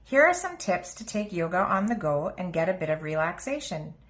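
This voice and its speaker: A woman's voice: fairly low pitch, big pitch swings, fairly quick. A woman reading a picture book aloud to young children as a storybook narrator.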